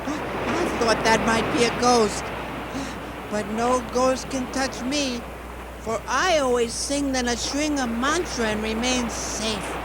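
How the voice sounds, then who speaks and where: High-pitched excitable fisherman puppet. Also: slow. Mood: calm, proud. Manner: exclamatory, repetitive chanting. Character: excitable superstitious fisherman puppet, singing mantras, terrified of ghosts. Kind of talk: puppet show acting. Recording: loud background noise.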